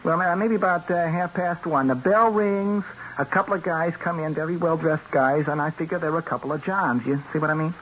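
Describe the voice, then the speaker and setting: An actor playing a shifty, evasive small-time lowlife with slangy, hesitant talk, in 1970s radio drama style. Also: male voice, a little fast, hardly any pauses, fairly bright tone, lightly acted. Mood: chatty, animated. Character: shifty, evasive male lowlife, streetwise, grudgingly talkative. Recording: muffled, noisy old radio broadcast.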